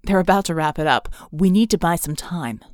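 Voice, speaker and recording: A woman's voice: fairly low pitch, animated, a little fast, dry recording, studio quality, pitched below the performer's own voice, close to an everyday voice. Woman as a terse, resolute male hero. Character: grim, decisive male hero, disgusted, resolute.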